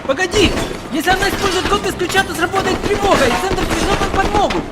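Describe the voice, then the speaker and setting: An actor playing an agitated, argumentative Russian soldier in a video game. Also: male voice, very high voice, fast, heavy background noise. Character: agitated Russian soldier guarding a snowy outpost. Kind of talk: video game cutscene acting. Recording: loud music and gunfire behind.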